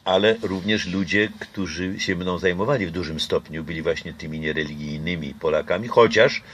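Deep-voiced older man, hesitant and reflective. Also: moderate pace, a bit bright, a little hiss or hum. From Poland. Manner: reflective, hesitant with frequent fillers and restarts. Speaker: interviewee. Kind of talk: casual conversation. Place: outdoor garden patio. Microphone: camera close by.

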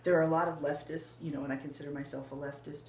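Older lady, calm and thoughtful, talking in an echoey room. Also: sits low. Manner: measured, reflective, explaining. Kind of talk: casual conversation. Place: small room indoors. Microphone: fixed camera, seated. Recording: roomy with faint background hiss.

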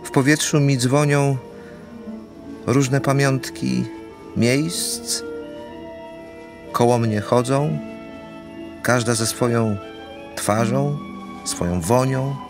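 An actor playing a florid, melancholy romantic count of fifty in a verse radio drama, speaking haltingly and poetically. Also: male voice, mid-range voice, music in the background. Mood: dreamy, melancholy.

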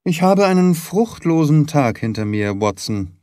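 A man voicing a shrewd, confident, courteous gentleman detective in an audiobook, formal, articulate and lightly patronising. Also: fairly high pitch, sing-song, a little slow, no echo, clean studio sound. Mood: frustrated. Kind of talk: audiobook character reading.

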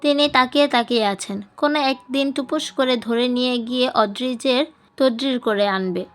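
A woman's voice: sits high, normal speed. A woman reading a scripted story as an audiobook narrator. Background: music.

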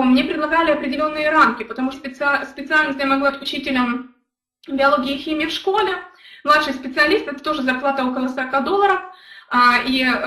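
A woman's voice: sits high, sharp tone, roomy sound, distant mic. A young woman from Ukraine giving an earnest motivational talk on a live stream, telling her own story and speaking to viewers in the chat. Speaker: network marketing leader.

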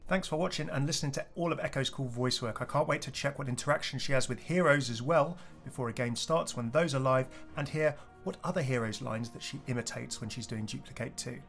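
A man's voice: medium pitch, a little fast, vocal fry, few pauses, a bit bright. A man hosting a gaming video, giving a casual, chatty outro voice-over. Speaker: video creator and host. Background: music.

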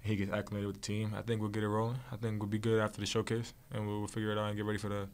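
Fast-talking young man, flat and low. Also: a little hiss or hum. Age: young adult. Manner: casual, rapid, repetitive phrasing. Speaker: basketball player. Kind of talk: postgame press conference answers. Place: press room with logo backdrop. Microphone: desk mic on stand.

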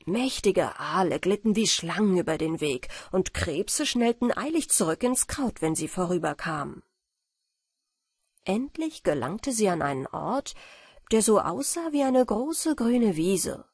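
Female audiobook narrator, fairy-tale style, unhurried, lively intonation, slightly husky. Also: medium pitch, no echo, clean studio sound.

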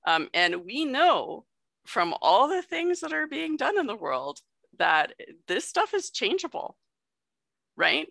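Gravelly, bright-voiced young woman explaining. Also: mid-range voice, no echo, clean studio sound. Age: young adult. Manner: explanatory, conversational, checks in often. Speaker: guest sex educator. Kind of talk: educational presentation on video call. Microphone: video call.

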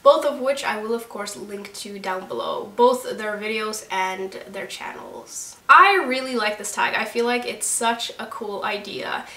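Bright-voiced Norwegian woman in a roomy space. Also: mid-range voice. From Norway. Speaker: book vlogger. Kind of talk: scripted chat to camera. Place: room with candles and plants. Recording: roomy echo, voice a little distant.

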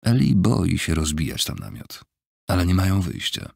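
A man reading an audiobook in a measured, even storytelling manner, recorded on a close studio mic. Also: no room sound. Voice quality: low gravelly husky voice. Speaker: audiobook narrator. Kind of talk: scripted audiobook narration. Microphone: close studio mic, dry.

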